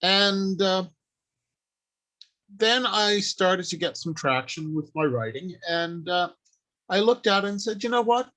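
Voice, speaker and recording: A man with a slightly higher-than-average voice talks at a slow, deliberate pace. He explains in a plain-spoken, informal teaching style, improvising around notes and putting emphasis on the points that matter. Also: lively intonation, pushed and bright. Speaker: writer and convention panel speaker. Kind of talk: informal instructional talk, partly improvised from notes.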